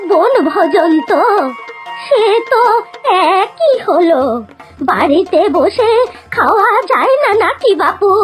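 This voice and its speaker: A woman's voice: extremely high pitch, heavily acted. A woman playing a stingy, grumbling old village grandmother in a children's cartoon, scolding and dismissive. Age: older. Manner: scolding, dismissive, drawn-out exclamations. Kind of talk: Bengali children's cartoon acting.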